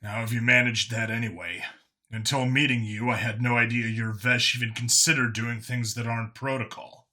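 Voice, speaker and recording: A man's voice: medium pitch, steady tone, moderate pace, pushed and bright. A man voicing a wry, wary human cop in an audiobook, informal and questioning. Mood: curious. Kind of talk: audiobook character acting.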